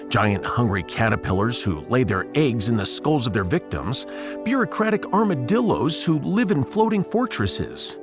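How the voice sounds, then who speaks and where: A man playing a wry, authoritative and exasperated starship captain in a sci-fi audio drama. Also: medium pitch.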